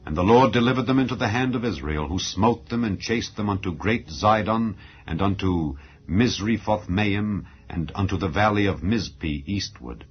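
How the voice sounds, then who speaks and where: A man giving a solemn, measured, declamatory dramatized narration of scripture as an audio Bible reader. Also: fairly low pitch, husky. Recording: dull lo-fi recording. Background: background music.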